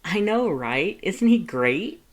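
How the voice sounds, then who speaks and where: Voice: medium pitch, very animated, slow, pitched above the performer's own voice. A narrator voicing an affectionate male skeleton monster in a cooing, gushing manner. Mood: proud, affectionate.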